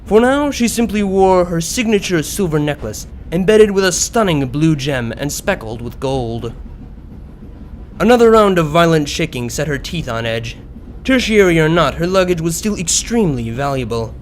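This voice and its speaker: A man reading a story aloud as an expressive narrator, in scripted prose. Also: on the high side, moderate pace, a little hiss or hum. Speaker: narrator of a fan fiction story. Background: background music.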